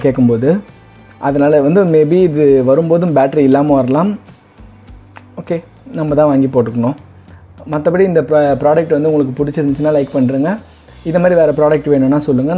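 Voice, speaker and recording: A man's voice: a little high, quick pace, husky. A man hosting a casual unboxing, talking conversationally and mixing in English words. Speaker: video host. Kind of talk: casual product unboxing commentary. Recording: dull lo-fi, faint music behind. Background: faint music.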